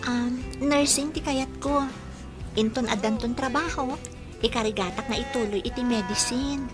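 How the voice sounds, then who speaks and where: A woman's voice: on the high side, normal speed, music in the background, close to an everyday voice. An actress with a Filipino accent playing a sweet, modest, studious schoolgirl in a radio drama. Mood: earnest, teasing. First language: Ilocano.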